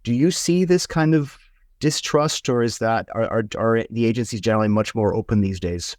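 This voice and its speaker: A man's voice: mid-range voice, moderate pace, darkish tone, no room sound, studio quality. A Swiss man with a Swiss accent, hosting an interview and asking long questions, often repeating words as he thinks. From Switzerland. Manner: repeats words often while thinking, stacked stammered starts. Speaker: podcast host, interviewer.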